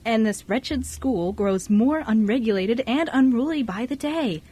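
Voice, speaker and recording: A woman's voice: a little high, lively intonation, measured pace, warm tone. A woman as a whiny, haughty rich teenage girl. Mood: indignant. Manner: whiny, snobbish, exasperated. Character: bratty, haughty wealthy teenage girl, easily exasperated. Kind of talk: amateur radio play acting.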